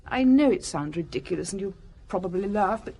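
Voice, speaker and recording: An actress playing a prim, earnest, efficient secretary in an audio drama, speaking formally and precisely with reserve. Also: female voice, medium pitch, expressive, brisk, creaky, dark tone, breathy edge. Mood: earnest, flustered.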